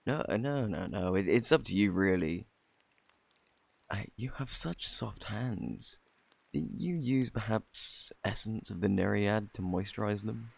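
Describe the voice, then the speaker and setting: Man playing a husky, gravelly British fantasy fortune teller. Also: lowish voice, unhurried, no echo. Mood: smitten, flustered. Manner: theatrical, flustered, coaxing, old-fashioned phrasing. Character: flirty, eccentric, boastful male fortune teller at fantasy inn. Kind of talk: ASMR roleplay, intimate scripted acting.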